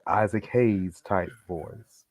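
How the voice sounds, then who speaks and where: Male co-host, low voice a bit congested from a sinus infection, dry close sound, animated. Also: slow pace, dry recording. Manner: animated storyteller, clears throat often. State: voice deepened from a sinus infection, clearing up. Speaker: podcast co-host. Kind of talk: casual chat.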